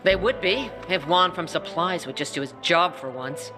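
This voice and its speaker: An actor playing a tough peacekeeper commander who speaks in a gruff, curt way. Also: mid-range voice, lively intonation, moderate pace, music in the background, natural voice.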